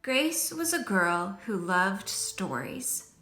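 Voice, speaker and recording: A middle-aged woman reading a picture book aloud to children in an expressive, deliberate storytelling manner. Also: medium pitch, faint music behind.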